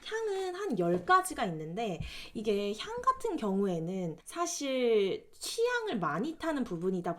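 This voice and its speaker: A young woman chatting politely and casually to viewers as she explains product recommendations. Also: on the high side. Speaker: vlogger recommending gifts.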